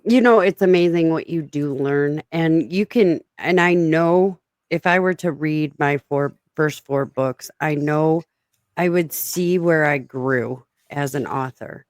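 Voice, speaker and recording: A woman's voice: low-pitched, measured pace, darkish tone, no room sound, clean studio sound. A woman with a smoky voice chatting casually as a podcast host, self-mocking and laughing often. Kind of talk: casual chat.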